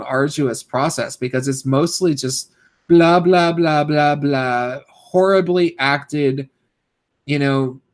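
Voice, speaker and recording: A young man with a hoarse voice, live-streaming and ranting casually, rambling with many fillers and restarts. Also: a little high.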